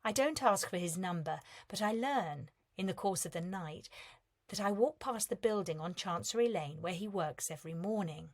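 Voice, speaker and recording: A woman with a slightly low voice reads prose in a measured, reflective way. She lets the ends of her lines soften and fall away. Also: expressive. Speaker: reader of a competition entry. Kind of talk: calm literary reading.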